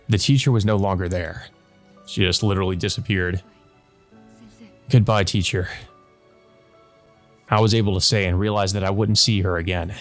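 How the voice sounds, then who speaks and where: A man's voice: deep voice, gravelly. A man doing a let's play, reading a visual novel's narration aloud. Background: background game music.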